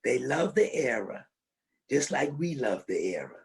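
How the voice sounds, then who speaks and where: A woman's voice: a bass voice, sing-song, unhurried. An older woman, a veteran swing dancer and podcast guest, telling stories emphatically and repeating phrases to drive her point home. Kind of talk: animated conversational storytelling.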